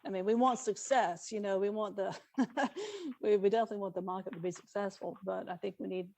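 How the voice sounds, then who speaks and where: A woman's voice: mid-range voice, fairly even intonation, brisk, vocal fry, a little mellow. A woman chairing a public meeting, talking informally and tentatively as she looks for agreement. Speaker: commission chair. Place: online public meeting call. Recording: faintly noisy online meeting audio.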